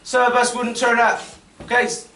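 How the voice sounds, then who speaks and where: A man's voice: very high voice, completely flat delivery, moderate pace, bright, forceful tone. A man with an English accent, a club captain giving an informal, joking speech at an awards dinner and teasing the crowd. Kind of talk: informal banter-filled speech. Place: large function room at a dinner. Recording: echoey room, far mic, background noise.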